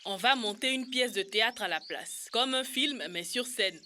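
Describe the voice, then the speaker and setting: A woman's voice: fairly high pitch, normal speed, natural voice. A woman voicing a proud, earnest, brainy little boy in an educational cartoon. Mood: confident. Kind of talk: dubbed educational cartoon acting. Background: music.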